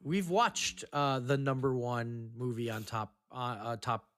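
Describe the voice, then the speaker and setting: A man's voice: mid-range voice, measured pace, fairly bright tone, no room sound. A man hosting a casual film podcast, chatting in a jokey, easily amused and affirming way. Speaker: podcast host.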